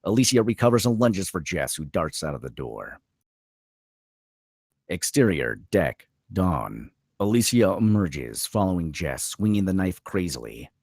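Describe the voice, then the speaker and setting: Very deep, animated male narrator. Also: a little slow, dry recording, studio quality. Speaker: narrator of stage directions. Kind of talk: scripted narration at a screenplay table read.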